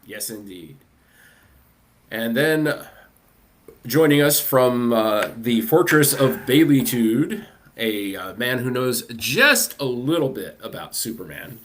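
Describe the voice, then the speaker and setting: A man with a mid-pitched voice hosts a fan panel. He talks casually as he introduces the guests and keeps things moving. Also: animated, slow pace, fairly bright tone. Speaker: panel host, convention track director.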